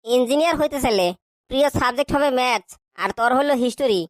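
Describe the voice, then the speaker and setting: A woman's voice: high-pitched, a little hiss or hum, lightly acted. A woman voicing a bad-tempered, bullying schoolteacher who scolds and fires questions in a comic cartoon. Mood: angry scolding. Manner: scolding, hectoring, rapid questioning.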